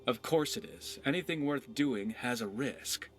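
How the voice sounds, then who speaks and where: An actor playing a roguish, witty pirate companion in a video game, talking in a wry, philosophical, conversational way. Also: male voice, medium pitch, unhurried, close to an everyday voice. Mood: wry, persuasive.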